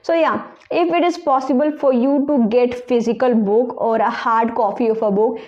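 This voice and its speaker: A woman's voice: fairly high pitch, fairly even intonation, moderate pace, muffled recording. A woman with a strong Indian accent, giving personal advice to viewers as an English-learning presenter.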